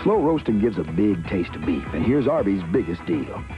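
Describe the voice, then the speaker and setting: A man reading a fast-food commercial voice-over in an easy sales tone. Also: low-pitched, sing-song, highs cut off, over music. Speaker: commercial announcer.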